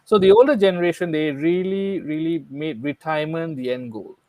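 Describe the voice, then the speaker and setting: A man talks through his points in an earnest, explanatory way, often checking in with his listeners. His voice sits a bit high. The recording is dry and close. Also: fairly quick, mellow, no echo. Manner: earnest, explanatory, frequent "right?" tag questions. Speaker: guest speaker. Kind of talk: conversational podcast discussion.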